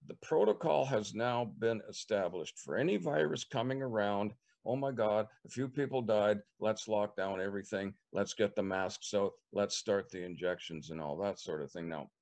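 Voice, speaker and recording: An older man with a Canadian accent, answering interview questions on a video call from a living room, measured and repeating words as he thinks. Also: fairly low pitch, darkish tone. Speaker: political candidate. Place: living room with leather couch. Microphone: webcam on video call.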